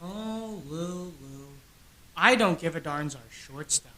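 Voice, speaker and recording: A woman's voice: lowish voice, animated, slow pace, a bit bright, slight background noise, poor audio. A woman playing the assured straight man in an old-time radio comedy double act, with insistent, clipped replies. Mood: exasperated.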